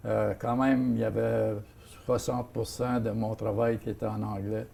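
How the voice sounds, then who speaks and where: Older Franco-Ontarian man, gravelly and hesitant. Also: fairly low pitch, flat delivery, normal speed, dark tone, slight background noise. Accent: Franco-Ontarian French. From Ottawa, Canada. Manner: hesitant, many fillers and restarts. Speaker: guest, seniors' association president. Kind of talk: casual interview talk.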